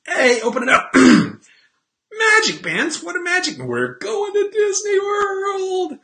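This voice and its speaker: A man voicing an overjoyed family member who shouts with excitement on opening a surprise gift. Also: very high-pitched, slow pace, voice pushed up, heavily acted. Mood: thrilled.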